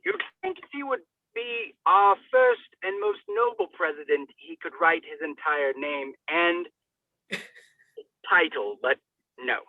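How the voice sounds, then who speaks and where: A man's voice: on the high side, lively intonation, slow, no echo. A man giving a hammy, theatrical dramatic reading with comic asides, toying with words as he stumbles over them, on a boxy telephone-like recording. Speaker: solo reader.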